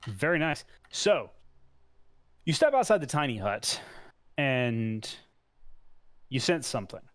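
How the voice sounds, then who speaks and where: A man narrating a tabletop game as game master, relaxed and descriptive. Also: medium pitch, slow pace, no room sound, clean studio sound.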